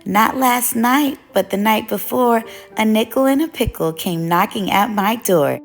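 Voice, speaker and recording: A woman voicing exuberant, playful city children calling out to each other in a storybook, loud and emphatic. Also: fairly high pitch, measured pace, faint music behind, voice pushed up. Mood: singsong.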